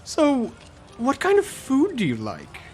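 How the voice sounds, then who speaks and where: A man's voice: very high voice, wildly swooping pitch, slow pace, slight background noise, full character voice. A man playing an awkward, easygoing bard adventurer in an audio drama, with a dry, self-deprecating manner. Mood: casual, wry. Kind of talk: audio drama roleplay dialogue.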